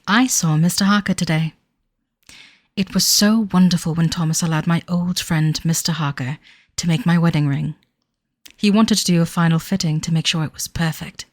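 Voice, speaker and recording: A woman's voice: on the low side, dry recording, lightly acted. A woman playing a devoted but uneasy young bride-to-be of the 1930s, reading her diary in a formal, wistful manner for an audio drama. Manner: formal, wistful, measured period diction.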